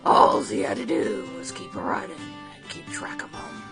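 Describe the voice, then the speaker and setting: A woman reading a western story aloud in a casual, chatty way, breaking off to comment on the text, with music behind. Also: normal speed. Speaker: storytime host.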